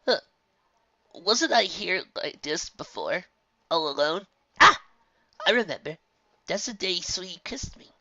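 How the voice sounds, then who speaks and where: A woman playing a jittery young colt from a pony storybook, pitched high and stumbling over his words. He comes across as flustered and unsure. The take is dry, with a slightly dull sound. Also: expressive, measured pace, long pauses, sharp tone, no echo, full character voice. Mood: wondering. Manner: flustered, hesitant.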